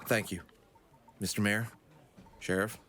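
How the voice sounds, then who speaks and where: A man's voice: lowish voice, fairly even intonation, a little slow, fairly bright tone, slight background noise. A man playing an earnest, loyal fox adventurer in an audio drama. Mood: friendly.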